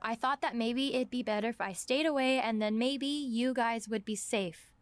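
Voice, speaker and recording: A woman playing an earnest, apologetic journalist with a halting manner in a naturalistic audio drama. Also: on the high side, dry recording, natural voice. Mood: apologetic, troubled.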